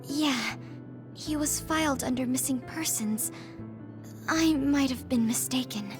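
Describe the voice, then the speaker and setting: A woman voicing a quiet, dreamy young psychic girl in a video game, speaking in short, halting phrases. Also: high-pitched, music in the background. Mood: serious. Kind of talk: video game cutscene voice acting.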